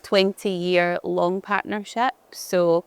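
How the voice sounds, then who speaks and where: A woman from Glasgow with a strong Glaswegian accent, her voice low and smooth. She talks in a chatty, easygoing way, often restarting her sentences, as a guest in a conference fireside chat. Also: flat delivery, takes it slowly, no echo. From Glasgow, Scotland. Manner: chatty, frequent restarts and fillers. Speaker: head of digital experience, conference guest.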